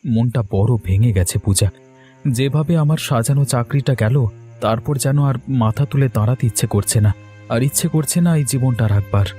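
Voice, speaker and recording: A man's voice: mid-range voice, a little fast, warm tone, faint music behind, close to an everyday voice. A man voicing an earnest, troubled young husband in a cartoon story. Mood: despairing. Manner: downcast, earnest. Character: dejected young husband who has lost his job. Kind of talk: Bengali cartoon story dialogue.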